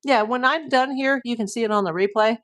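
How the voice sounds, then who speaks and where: A woman's voice: a little high, fairly quick, a bit bright. A middle-aged woman hosting a casual livestream, chatting and reading viewer comments while losing her voice. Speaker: livestream host.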